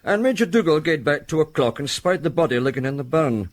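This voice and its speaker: A man's voice: a little high, expressive, a little fast, close to an everyday voice. Man acting a plain-spoken Scottish village publican. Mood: matter-of-fact. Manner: matter-of-fact, homely dialect. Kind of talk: radio drama acting.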